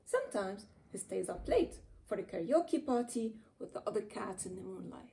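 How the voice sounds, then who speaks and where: A woman reading a children's storybook aloud in an expressive, dramatic way with playful emphasis. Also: mid-range voice, moderate pace, creaky, slight background noise. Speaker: children's author reading her own book aloud. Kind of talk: animated storybook read-aloud.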